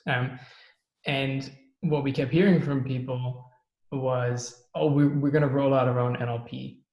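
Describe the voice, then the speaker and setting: A man's voice: medium pitch, steady tone, measured pace, a little mellow. A man from the Netherlands, a guest on a podcast, chatting casually and thinking aloud with frequent ums. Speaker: podcast guest, startup co-founder. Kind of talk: casual interview chat. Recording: roomy echoey sound.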